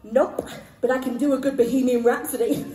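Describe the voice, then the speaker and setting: Woman playing a very high, cheeky talking cow. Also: fairly even intonation, a little fast, natural voice. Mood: cheeky, boastful. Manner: cheeky, showy patter. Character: boastful talking pantomime cow, cheeky show-off. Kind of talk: stage pantomime acting. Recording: echoey stage hall, loud music behind.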